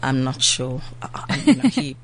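Low-voiced, nasal woman chatting. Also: normal speed. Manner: chatty, animated, restarts often. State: nasal from a flu. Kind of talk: casual chat.

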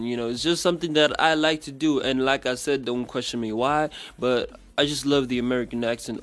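A man from South Africa hosting a casual radio chat show as a DJ. He talks in a chatty, rambling way and second-guesses himself mid-sentence. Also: mid-range voice. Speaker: radio DJ host.

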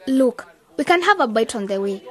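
A young woman student in a radio drama, with a high voice. She is level-headed and persuasive, reasoning with her friends in scripted dialogue. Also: animated, normal speed, fairly bright tone. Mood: earnest, persuasive. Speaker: actor in an educational radio drama.

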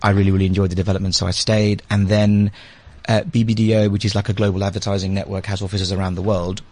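Man, British accent, low pitch, narrow intonation, husky, conversational explainer. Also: level tone, fast, a little mellow. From UK, born in India, grew up in London. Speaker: interview guest, advertising agency managing director. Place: radio studio.

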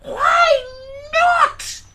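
A woman voicing a brash, headstrong female pig in a children's story, loud and squealing. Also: extremely high pitch, extremely slow, heavy background noise, voice pushed up, heavily acted. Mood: excited, defiant.

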